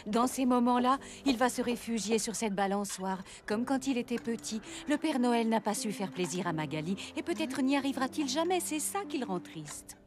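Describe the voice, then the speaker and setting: A woman voicing a kindly, motherly figure who explains gently in a French cartoon. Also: fairly high pitch, lively intonation, normal speed, few pauses, close to an everyday voice. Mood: gentle, concerned. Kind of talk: French animated series dubbing. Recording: music underneath.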